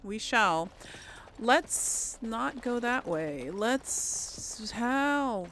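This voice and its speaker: A woman's voice: a little high, animated, extremely slow, a bit bright, slight background noise. A woman giving casual, rambling game commentary to viewers, thinking aloud as she plays. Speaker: gaming host.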